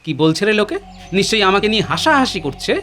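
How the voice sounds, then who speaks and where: Confident, animated court jester. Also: male voice, sits high, fast, background noise. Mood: curious, rueful. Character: cheerfully confident, clever court jester, a grown man. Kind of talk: Bengali cartoon dialogue.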